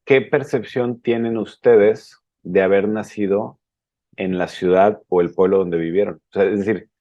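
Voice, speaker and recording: A man from Guadalajara with a Mexican Spanish accent, hosting a podcast and explaining ideas in a measured way. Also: lowish voice, steady tone, dry recording, dull audio. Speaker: podcast host. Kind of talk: interview questions.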